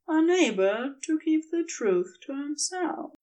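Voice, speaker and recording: Woman narrator, lively melodic intonation, unhurried, scripted fiction storytelling. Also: high voice.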